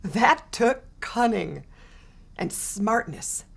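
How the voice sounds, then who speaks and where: A woman voicing a kind male schoolteacher in a storybook, speaking softly and tenderly. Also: medium pitch, animated, vocal fry, slightly rough, exaggerated voice. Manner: soft, tender, slow-spoken.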